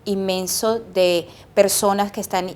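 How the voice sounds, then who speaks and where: A middle-aged woman from Colombia, an epidemiologist answering interview questions in a measured, explanatory way. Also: mid-range voice, steady tone, darkish tone. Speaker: epidemiologist guest.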